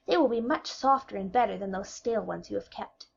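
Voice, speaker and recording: A woman's voice: medium pitch, brisk, creaky, dull audio, a little hiss or hum, close to an everyday voice. A woman voicing a kind young girl who coaxes and soothes a friend in an audiobook. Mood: soothing. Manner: soothing, coaxing.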